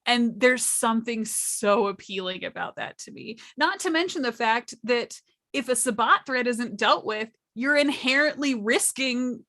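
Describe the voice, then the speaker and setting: A woman on a casual gaming panel, speaking expressively and thoughtfully, with pauses to find her words. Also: fairly high pitch, animated, fairly bright tone.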